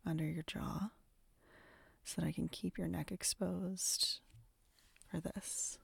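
A woman whispering softly and intimately close to the mic, in a slow, hushed audio roleplay. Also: lowish voice, little pitch movement, vocal fry, husky, no echo.

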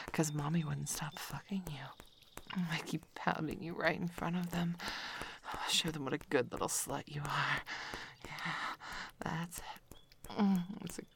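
A woman playing a dominant, possessive lover in intimate adult roleplay audio, mostly in a breathy whisper with drawn-out sighs between short phrases. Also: low-pitched, a bit bright, slight background noise, exaggerated voice. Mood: commanding, aroused.

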